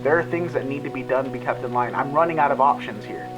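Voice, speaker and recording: A man acts a stern guard in a naturalistic sci-fi audio drama. His voice is middle-pitched, his manner terse, plain-spoken and defensive. Strong music runs underneath the dialogue. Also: fast talker, lightly acted. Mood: defensive, firm. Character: stern, dutiful male guard, self-justifying old friend.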